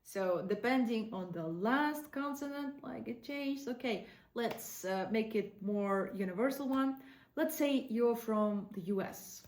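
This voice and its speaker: A woman with a Kazakh accent gives an online language lesson at a slow, unhurried pace and repeats each word for practice. Her voice sits a little high. The room adds a noticeable echo. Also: a little mellow, a little hiss or hum. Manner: explains slowly, repeats words for practice. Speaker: language teacher.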